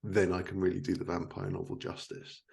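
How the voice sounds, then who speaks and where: A man's voice: deep voice, completely flat delivery, fairly quick, creaky, a little mellow. A man hosting a casual podcast conversation about his work, speaking as a writer. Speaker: podcast host and fiction writer.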